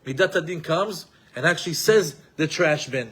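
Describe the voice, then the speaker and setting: A man teaches a Torah class in an animated, emphatic way. His voice sits somewhat high for a man. He quotes Hebrew texts and then explains them in English. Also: normal speed, breathy edge. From Israel, raised in New York.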